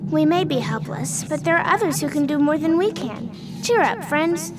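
A woman's voice: high voice, lively intonation, moderate pace, background noise. A woman playing a polite, plucky little farm girl, earnest and plainspoken, in a radio play. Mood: hopeful. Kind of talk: radio theater acting.

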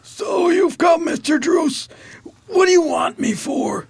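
A man playing a frantic, guilt-ridden, ailing gambler in an amateur radio drama, with a strained, gravelly voice. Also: very high voice, expressive, takes it slowly, exaggerated voice. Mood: agitated.

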